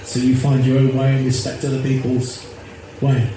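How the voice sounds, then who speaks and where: A man in his fifties speaks earnestly, circling back over his own phrases. His voice sits at a middling pitch. It was caught on a phone in a very echoey space with plenty of background noise. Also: completely flat delivery, normal speed, mellow. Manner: earnest, rambling, repeats himself. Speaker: activist interviewee. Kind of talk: casual interview. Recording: echoey, noisy phone recording.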